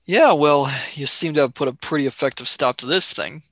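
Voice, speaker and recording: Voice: mid-range voice, a little fast, a bit bright, no echo. An actor plays a young male companion in a space radio drama. The delivery is casual, brash and sarcastic. The sound is close and dry. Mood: wry. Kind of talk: radio play acting.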